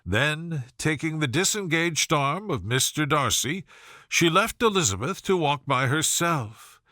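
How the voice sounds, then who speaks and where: A male audiobook narrator reads classic fiction with measured, formal phrasing. His voice is fairly high and bright, with a very lively melody that carries the storytelling. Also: no room sound, studio quality.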